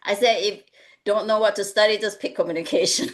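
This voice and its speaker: A woman's voice: mid-range voice, fairly even intonation, a little fast. Woman. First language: Mandarin. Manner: earnest, advice-giving, often adds "right?". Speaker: panelist, executive coach. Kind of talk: webinar panel discussion.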